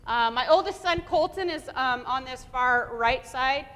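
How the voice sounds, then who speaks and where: A woman's voice: high-pitched, a little slow, a bit bright. A woman in her forties giving an upbeat motivational talk at a luncheon, often restarting her phrases. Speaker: guest speaker. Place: banquet hall. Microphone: lapel mic. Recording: echoey hall.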